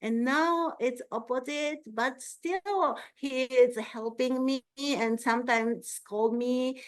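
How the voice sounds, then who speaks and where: A woman Zen teacher answering a student, speaking haltingly with pauses and frequent agreeing murmurs. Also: high voice. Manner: halting, self-conscious about her English, frequent laughs; slow and warm, many soft agreeing murmurs. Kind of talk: Q&A after a dharma talk.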